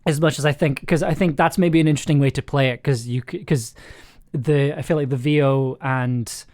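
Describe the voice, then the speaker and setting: A man with a mid-pitched voice talks casually through a script as its director. He thinks aloud, halting and repeating words while he works out his explanation. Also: fairly even intonation, a little mellow. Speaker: audio drama writer and director hosting a livestream.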